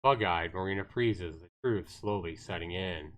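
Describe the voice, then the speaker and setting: A man's voice: low-pitched, normal speed, background noise. A man reading visual novel narration aloud on a livestream, telling it dramatically with the occasional stumble. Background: guitar music.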